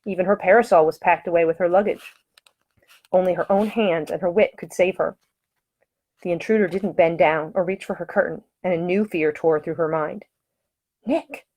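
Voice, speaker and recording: A woman reading her own romance novel as scripted audiobook narration, with expressive, dramatic storytelling. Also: lowish voice.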